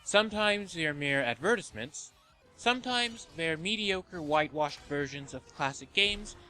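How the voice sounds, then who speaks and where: A man's voice: a little high, animated, normal speed, bright, forceful tone, faint music behind, poor audio. A man hosting and narrating a scripted video essay in an opinionated, sardonic manner. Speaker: host of a video game movie list show.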